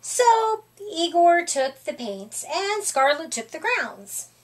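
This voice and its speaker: A middle-aged woman reading a children's picture book aloud in an expressive, deliberate storytelling manner. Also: very high voice. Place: small room, indoors.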